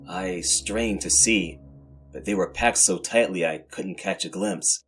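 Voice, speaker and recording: A man narrating horror fiction as host, in a scripted, dramatic and brooding manner with deliberate pauses. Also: sits low, a bit bright, soft background music.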